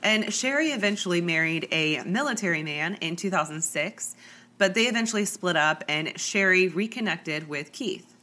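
Young female podcast host, stuffy and chatty, lowish bright voice. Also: expressive, normal speed. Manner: chatty, lots of likes and ums. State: congested with a cold. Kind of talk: true crime podcast storytelling.

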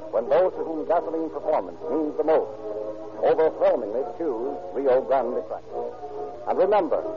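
A man's voice: medium pitch, narrow, tinny audio. A man announcing and narrating in scripted 1930s radio style, formal, emphatic and declamatory. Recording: old radio recording with music.

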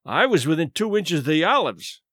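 A man voicing a shrunken, shabby vagrant in an audiobook story, quiet and wry, with unhurried, rather formal wording. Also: a little high, sing-song, no room sound, studio quality, heavily acted. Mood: wistful. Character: shrunken shabby male vagrant, quiet, dry, sly companion.

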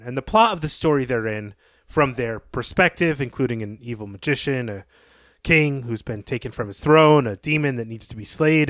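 A man's voice: mid-range voice, fairly bright tone, no room sound. Dry close-miked man recapping a story. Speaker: podcast co-host.

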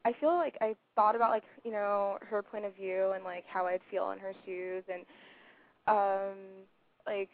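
A woman's voice: mid-range voice, steady tone, measured pace. A woman in her twenties calling in, hesitant and rambling with many fillers. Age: 20s. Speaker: caller. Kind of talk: casual phone call. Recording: dry tinny phone line.

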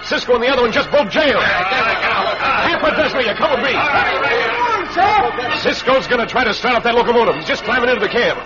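Dull vintage radio sound. gruff gang leader, high-pitched, curt, commanding western heavy. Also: male voice, expressive, brisk, long pauses. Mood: urgent, rousing. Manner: barking curt orders. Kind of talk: old-time radio western acting. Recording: dull old radio, loud music and crowd.

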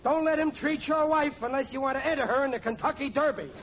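An actor playing an excitable, boastful, dim-witted middle-aged working-class husband in a 1940s radio sitcom, blustering in ungrammatical speech. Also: male voice, very high voice, quick pace. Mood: flustered. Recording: muffled, noisy old 1940s radio recording.

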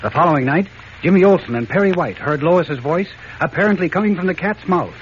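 A man with an American accent giving dramatic scripted narration in the style of a 1940s radio serial. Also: a little high. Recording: muffled, noisy old radio recording.

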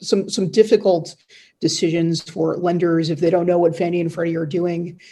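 A man giving conversational news analysis as an editor, speaking haltingly and often repeating words mid-sentence. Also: fairly high pitch, flat delivery, dark tone, slight background noise. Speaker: news editor and guest commentator.

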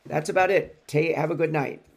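A man's voice: fairly high pitch, fairly even intonation, normal speed, vocal fry. A man giving a rambling, self-correcting take on his soccer team's latest match as a podcast contributor. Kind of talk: casual sports commentary.

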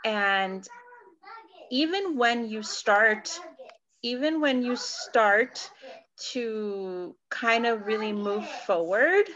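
A woman's voice: on the high side, very slow, fairly bright tone, lo-fi sound. A woman in her forties from California, co-hosting a casual podcast and thinking aloud, often checking in with "right?". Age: 40s. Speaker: podcast co-host. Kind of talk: casual personal chat.

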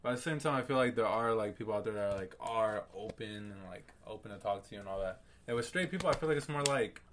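Low-voiced, easygoing podcast co-host man. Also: fast talker, a bit bright, a little hiss or hum. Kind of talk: casual chat.

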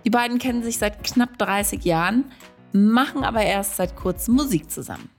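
Female podcast host, mid-pitched, friendly and explanatory, light music under the intro. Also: animated, normal speed. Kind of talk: interviewing guests, scripted intro. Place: podcast studio.

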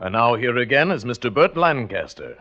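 A man's voice: mid-range voice, expressive, moderate pace. A man announcing formally as a presenter in 1940s radio style. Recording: muffled 1940s radio, loud music behind.

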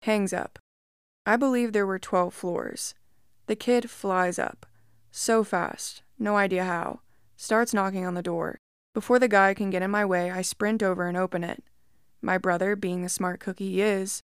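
Calm woman narrating a creepy story. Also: mid-range voice, smooth, no room sound, studio quality. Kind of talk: reading a first-person creepy story.

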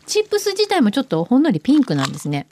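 A woman co-hosting a radio show in casual chat, quick to react and ask questions. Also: sits high, wildly swooping pitch, warm tone, slight background noise.

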